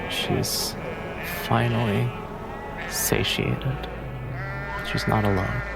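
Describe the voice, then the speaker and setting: A man giving halting, dramatic horror narration as a player in a tabletop game. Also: medium pitch, fairly even intonation, gravelly.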